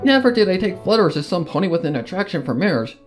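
A man with a slightly high voice narrates a first-person story. His reading is theatrical and full of feeling, with guitar music playing softly underneath. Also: very animated, quick pace. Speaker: fan fiction narrator.

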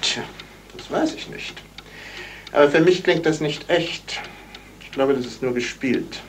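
An actor playing a thoughtful, suspicious amateur sleuth in a deliberate 1970s German radio crime drama style. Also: male voice, fairly high pitch, close to an everyday voice. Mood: pondering. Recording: hissy old radio play recording.

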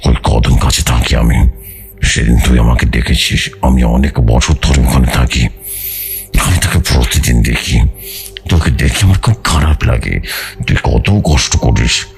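A man voicing a big hairy male jinn in a horror audio story, gentle and friendly, speaking in a slow, reassuring, familiar way. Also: extremely low pitch, vocal fry, soft background music, voice pushed down, exaggerated voice. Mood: kindly, pleased.

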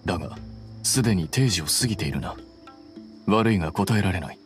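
An actor playing an aloof, rational young scholar in an anime game, speaking in a dry, curt and formal way. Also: male voice, low voice, expressive, fairly quick, over loud music. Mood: dry, indifferent. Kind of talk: anime video game voice acting.

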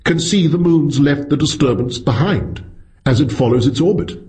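A man's voice: fairly high pitch, moderate pace, dark tone, pitched above the performer's own voice, close to an everyday voice. A man voicing a polite, weary senior astronomer with a hesitant, donnish manner, in an audiobook story. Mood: hesitant, tired.

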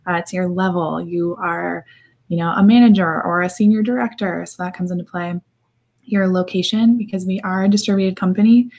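A slightly raspy, congested-sounding woman answering questions informally as a recruiting manager on a video-call panel. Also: on the low side, fairly even intonation, fairly quick, darkish tone. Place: indoor room, video call.

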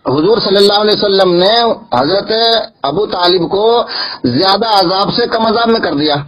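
A dull, lo-fi call recording carries a man's high, husky voice. He speaks as a cleric in a religious debate, arguing insistently and repeating his points to drive them home. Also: moderate pace. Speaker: Islamic cleric.

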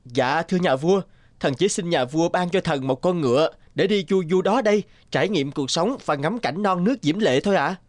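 An actor playing an earnest, kind-hearted young soldier in a fairy-tale cartoon, speaking expressively. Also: male voice, on the high side, normal speed, dry recording, natural voice. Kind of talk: animated fairy-tale dubbing. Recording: music bed behind the voice.

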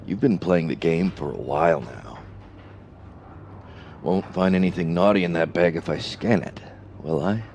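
A man playing a stern, suspicious spaceport security boss in a science fiction audio drama, slow and menacing in manner. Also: sits low, a little mellow, slight background noise. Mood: stern, probing.

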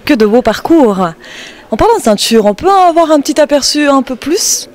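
A woman's voice: high voice, animated, normal speed, darkish tone. A woman interviewing a fight team, asking polite questions on a handheld mic. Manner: polite, prompting. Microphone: handheld mic with windscreen.

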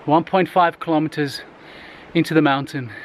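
A man in his thirties, vlogging with excitement while talking to a handheld camera in the jungle and inside a huge cave. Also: fairly high pitch, moderate pace, very noisy. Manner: enthusiastic, excited, talks straight to handheld camera. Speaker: travel vlogger. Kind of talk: casual travel vlog. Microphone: handheld camera at arm's length.

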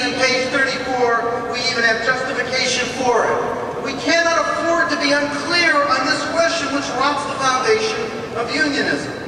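A man giving an urgent, rallying floor speech as a delegate at a union convention. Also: extremely high pitch. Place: large convention hall. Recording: echoey hall heard from far off, noisy.

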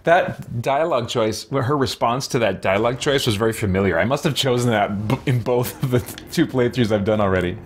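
A man's voice: mid-range voice, fairly quick, few pauses, a little hiss or hum. Male streamer, 40s: casual, rambling let's-play commentary in an ordinary mid-range voice. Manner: rambling, musing, self-interrupting. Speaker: game streamer. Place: small indoor room with shelves.

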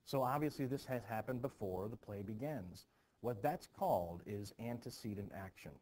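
A man teaching students about a play in an informal, animated storytelling manner. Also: fairly low pitch, normal speed. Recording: clearly noisy background.

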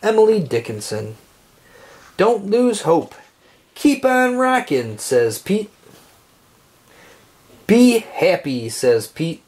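A man reads a picture book aloud for children in a fairly high voice. His delivery is slow and deliberate, and his intonation swings up and down expressively through each short phrase. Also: sing-song, darkish tone.

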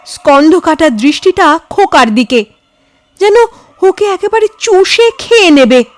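A woman narrating a ghost story in an expressive, suspenseful, dramatic manner. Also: extremely high pitch, unhurried, dry recording.

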